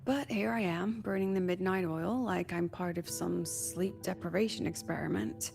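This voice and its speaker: An actress playing a weary, wry academy president in a video game, sly and chatty, talking conversationally with sighs and coy pauses. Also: female voice, on the low side, vocal fry, a little mellow. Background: background music.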